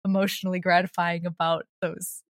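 A woman's voice: fairly low pitch, little pitch movement, brisk. A woman talking informally as a guest in a casual interview. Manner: informal, chatty. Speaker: guest, audio drama creator.

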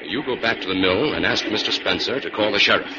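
Casting: man as calm, authoritative sleuth hero, measured delivery, old radio serial, dull hissy recording. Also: on the low side, lightly acted. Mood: businesslike. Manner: firm, measured, commanding. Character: calm, authoritative male detective hero, level-headed. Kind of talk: old-time radio drama acting.

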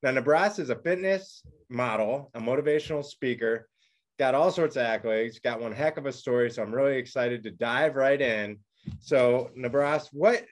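A man hosts an interview podcast in a friendly, casual way, with a voice of ordinary male pitch. He often stumbles and restarts his questions partway through. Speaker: host.

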